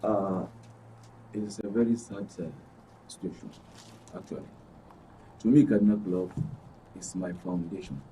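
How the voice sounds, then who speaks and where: A man's voice: deep voice, fairly even intonation, moderate pace, pauses often, dark tone. Northern Nigerian man telling stories, off-mic. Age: 50s. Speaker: panel guest. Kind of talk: personal storytelling in a studio discussion. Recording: distant mic with faint noise.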